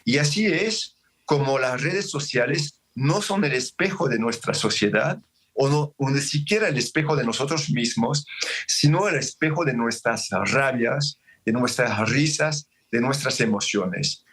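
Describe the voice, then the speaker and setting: A man's voice: mid-range voice, fairly bright tone, breathy edge. French-accented man explaining at length. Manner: explanatory, measured, with self-corrections. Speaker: author guest. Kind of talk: interview over a remote link. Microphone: remote link.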